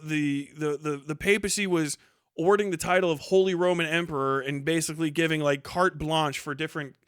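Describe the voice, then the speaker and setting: Man, slightly high pitch, very clean tone, close dry sound, casual live commentary, blunt, argumentative, rambling. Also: a bit bright, clear, resonant tone, no room sound. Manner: blunt, profane, sardonic. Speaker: streamer commenting on news.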